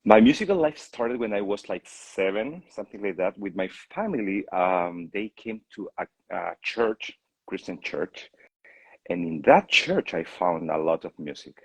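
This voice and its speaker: This is a Chilean man with a very low, husky voice and a Chilean Spanish accent. He talks casually as an interview guest about his work as an opera singer. The sound is close and dry, with hardly any room in it. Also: animated, normal speed, no room sound, rough recording.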